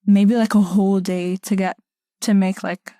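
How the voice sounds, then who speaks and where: A woman's voice: medium pitch, level tone, moderate pace, warm tone, no echo, studio quality. A young woman from Egypt hosting a podcast in a casual, conversational style. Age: young adult. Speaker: podcast host.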